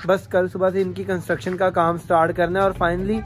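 A man's voice: high-pitched, flat delivery, a little fast. A young man with a Pakistani Punjabi accent, talking casually to camera as a vlogger. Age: young adult. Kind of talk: casual vlog talk to camera. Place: outdoors by roads, brick yards and shops. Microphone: phone at arm's length. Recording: clear outdoor traffic and ambient noise.

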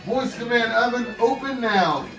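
A man's voice: very high voice, unhurried. A man co-hosting a home cooking video, playful and hyped, throwing out catchphrases and jokes. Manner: playful hype, shouts catchphrases. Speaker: cooking show co-host. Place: home kitchen, indoors. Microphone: far camera. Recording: echoey room from far off with music.

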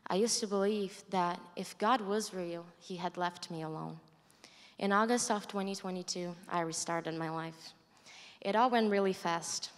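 A woman's voice: on the low side, moderate pace. Young Brazilian woman reading testimony in a hall. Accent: Brazilian Portuguese. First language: Portuguese. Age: young adult. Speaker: student giving a testimony. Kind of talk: reading a prepared testimony aloud. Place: large dark stage hall. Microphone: handheld mic. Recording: long hall reverb.

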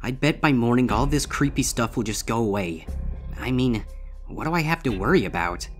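A man's voice: mid-range voice, lively intonation, normal speed, voice pushed up, natural voice. A man voicing a young male griffin student, anxious and panicked, in a storybook reading. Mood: uneasy muttering. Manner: anxious, panicked muttering and crying out. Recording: long reverb, music behind.

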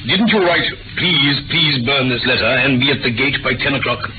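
An actor playing a courteous, earnest gentleman doctor in 1940s radio drama, polite and probing. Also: mid-range voice, normal speed, a little hiss or hum, close to an everyday voice. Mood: probing, firm. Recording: narrow vintage radio with music.

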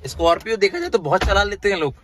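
A man hosts a car vlog in an informal, chatty way. His voice is bright and forceful and he talks quickly. Faint outdoor noise sits behind him, picked up on a handheld phone. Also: sits high, sing-song. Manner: chatty, informal, asking questions. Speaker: car vlogger, host. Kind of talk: casual car walkaround vlog chat. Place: open-air used car lot, partly inside car.

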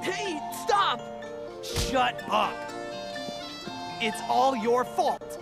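Bratty whiny kid boy from a video game, high n swoopy. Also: big pitch swings, drawn-out words, vocal fry, music in the background, full character voice. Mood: accusing. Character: brash young village boy, bullying and whiny. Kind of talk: dubbed game dialogue.

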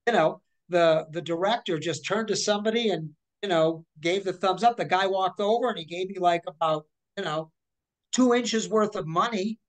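A woman in her forties, speaking over a video call from her living room. She tells her stories in a lively, chatty way, breaking off and restarting mid-sentence. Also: fairly low pitch, normal speed, rough recording. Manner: chatty, animated, with many restarts and hand gestures. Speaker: guest voice actor. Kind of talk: casual interview storytelling.

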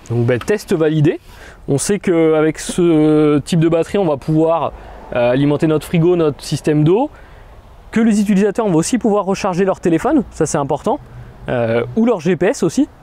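A man's voice: a little high, mellow. A man in his thirties casually explaining equipment outdoors beside a car, chatty with frequent fillers. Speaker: product demonstrator. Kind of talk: casual product demo.

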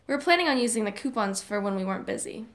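A woman playing an upbeat, persuasive high school girl in a casual audio drama. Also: animated, racing, close to an everyday voice. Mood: eager, coaxing.

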